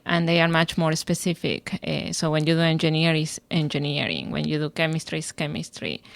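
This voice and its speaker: A woman in her forties with an Argentine Spanish accent answers interview questions thoughtfully, dropping "eh" between her thoughts. Her voice sits a touch low. The recording is close and dry. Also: creaky, no room sound. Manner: thoughtful, with "eh" fillers and restarts. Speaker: guest scientist.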